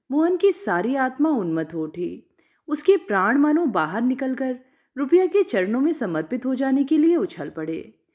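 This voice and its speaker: A woman with a high voice tells a literary story. Her pitch moves widely as she narrates, giving the reading an animated, expressive delivery.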